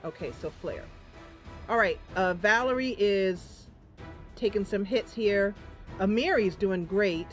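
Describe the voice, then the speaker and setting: Female gaming host, mid-pitched, chatty and reactive, thinking aloud over faint game music. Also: slow. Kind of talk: casual let's play commentary.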